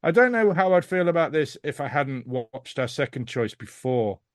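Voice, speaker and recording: A man hosting a podcast, giving an exasperated, emphatic review in casual, animated chat. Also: medium pitch, moderate pace, dry recording, clean studio sound. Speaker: podcast host.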